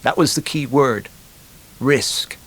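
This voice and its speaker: A man's voice: mid-range voice, slow pace, a bit bright, breathy edge, pitched above the performer's own voice. A glib young man, acted out by a male narrator in a storybook, talks in a chatty, wisecracking way. He leans on words with punchy emphasis, and a streak of resentment runs under the joking. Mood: bitter, self-justifying.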